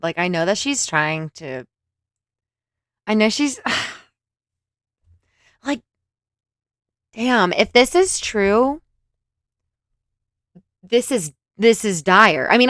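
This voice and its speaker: A woman delivers reaction commentary with sarcasm and laughter, her voice pitched a little high and swinging all over. The sound is close and dry. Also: fairly bright tone, no echo. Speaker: commentary host.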